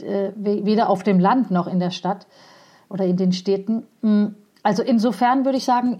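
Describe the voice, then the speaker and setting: A woman guest speaking about cycling and city traffic in a casual interview, thoughtful and frank, pausing often with fillers. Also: mid-range voice, dark tone.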